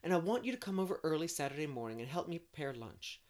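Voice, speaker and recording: A woman's voice: deep voice, very animated, fast talker, a little mellow, pitched above the performer's own voice. Woman reading as an exuberant, dreamy young woman. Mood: excited, earnest. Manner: effusive, poetic, emphatic. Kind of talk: audiobook character reading.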